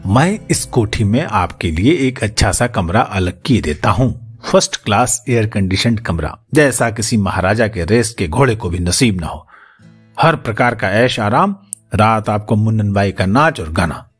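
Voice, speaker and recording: Audiobook businessman, male narrator in character: greedy, flattering, pushy, lively pitch swings, rough-edged tone. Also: measured pace, faint music behind. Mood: jovial, insistent. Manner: insistent, interrupting, wheedling.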